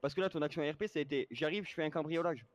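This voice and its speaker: A man's voice: a little high, very fast. A man explaining and arguing in an online role-play chat like a moderator, lecturing and often checking that he is understood. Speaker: game server moderator.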